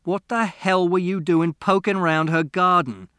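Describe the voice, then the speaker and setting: A man voicing an angry, short-tempered middle-aged father in a storybook reading who barks his commands loudly. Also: sits high, fairly even intonation, a little slow, clean tone, no room sound, studio quality, pitched above the performer's own voice. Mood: exasperated. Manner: loud, barking commands.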